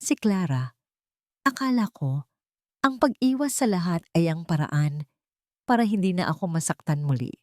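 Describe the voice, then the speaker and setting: A wounded, grieving man in a romance audio story, voiced by a woman narrator. The voice is low and quiet, with a confessional manner. The sound is dry. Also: expressive, normal speed, long pauses, no echo, lightly acted. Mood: sorrowful, tender.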